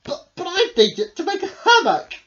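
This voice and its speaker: A woman voicing a fussy little storybook hedgehog, quick to take offence. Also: extremely high pitch, huge pitch swings, voice pushed up, full character voice. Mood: indignant. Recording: dull lo-fi home recording.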